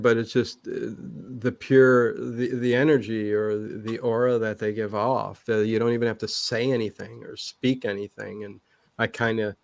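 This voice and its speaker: A man's voice: mid-range voice, no echo. A man chatting casually as an interview guest, rambling and hesitant, often repeating words as he works out a thought. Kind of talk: casual personal chat.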